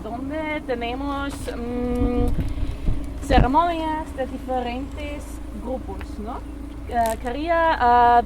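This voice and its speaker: A woman, not a native speaker of the language, guiding a group at a memorial site with many hesitant fillers and questions to the group. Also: sits high. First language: not Spanish. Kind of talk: guided tour talk. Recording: noisy outdoor field recording.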